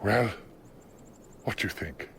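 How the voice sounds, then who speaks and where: A man acting a formidable middle-aged barrister in a radio drama, speaking deliberately and rhetorically. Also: on the low side, animated, fairly bright tone, slight background noise. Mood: fond, gruff. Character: formidable middle-aged male barrister, probing in court, gentle as father.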